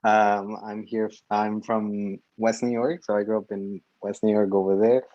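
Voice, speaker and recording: A young man from Mexico co-hosting a podcast chat, halting and full of fillers. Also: lowish voice, level tone, a little mellow, dull audio, a little hiss or hum. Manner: halting, laughing, filler-heavy. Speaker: podcast co-host. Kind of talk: casual chat.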